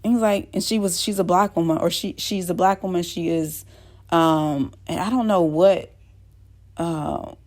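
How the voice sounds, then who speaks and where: A woman's voice: lowish voice, moderate pace, darkish tone. A woman host talking casually to her viewers, rambling with many fillers and restarts. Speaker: channel host. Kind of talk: casual talk to camera.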